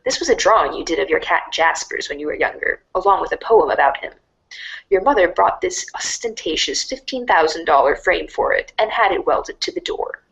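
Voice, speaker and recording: A woman voicing a wry, mock-grandiose storybook narrator in a dramatic read-along style, deadpan and sardonic. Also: fairly low pitch, brisk, gravelly, rough recording. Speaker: narrator of a fan readers' theater show.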